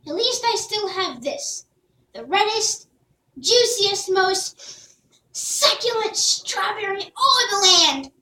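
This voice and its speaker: A woman's voice: very high-pitched, expressive, takes it slowly, sharp tone, pitched above the performer's own voice, exaggerated voice. A woman voicing an earnest young boy in a storybook read-aloud, talking eagerly. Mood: hopeful. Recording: roomy, distant mic.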